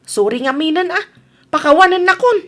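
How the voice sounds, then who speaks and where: A woman's voice: very high-pitched, expressive, normal speed, fairly bright tone. A woman acting an upset village woman, pleading and emphatic, in an Ilocano radio comedy drama. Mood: apologetic. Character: upset, apologetic but forceful village woman.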